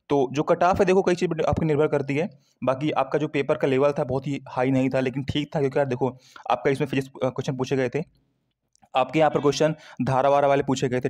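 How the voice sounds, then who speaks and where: A man talks to students as an online exam coach. His voice sits a little high and he speaks very quickly. The delivery is conversational and informal, dotted with English terms and frequent little check-in tags. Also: darkish tone. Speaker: exam prep YouTuber. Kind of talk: informal explainer addressed to students.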